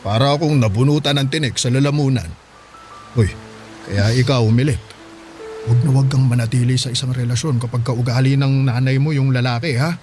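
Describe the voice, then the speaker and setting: Soft music sits behind a middle-aged Filipino father, played by a male actor with a medium-pitched voice. He talks in a plain, fatherly, reassuring way, a devoted hardworking parent in a radio drama. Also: fairly even intonation, fairly quick, lightly acted. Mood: reflective, advising. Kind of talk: radio drama acting in Tagalog.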